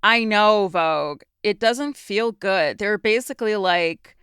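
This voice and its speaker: A woman's voice: medium pitch, slow pace, fairly bright tone, clear, resonant tone, no room sound, studio quality. A woman co-hosting a podcast, discussing local news in a conversational, explanatory way from personal experience. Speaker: podcast co-host.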